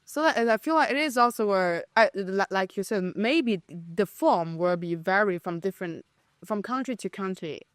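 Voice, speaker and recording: A woman's voice: medium pitch, lively intonation, moderate pace, no room sound, clean studio sound. A young woman from China, a native Chinese speaker with a Chinese accent, talking as a podcast guest with hesitant, restarting speech. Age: young adult. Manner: hesitant, many restarts and fillers. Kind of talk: casual conversation.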